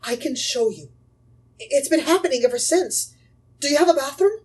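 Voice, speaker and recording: Woman playing a high-voiced, frightened young mother. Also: lively intonation, moderate pace, darkish tone, distant mic. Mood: urgent, pleading. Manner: anxious, hesitant, pleading. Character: frightened, pleading young mother haunted by a ghost. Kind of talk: audio drama acting.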